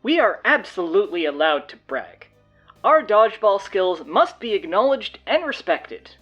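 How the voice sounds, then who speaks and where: A woman playing an earnest, defensive high school student with a wordy way of talking, in an audio drama. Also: big pitch swings, moderate pace, a bit bright, slightly rough, dull audio, faint music behind. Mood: indignant, pompous.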